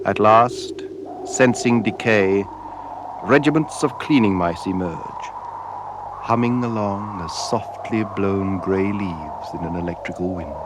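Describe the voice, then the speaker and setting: A man narrating a radio drama in a solemn, measured way, with deliberate dramatic pauses. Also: fairly low pitch, noisy background. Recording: radio drama with music behind. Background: music.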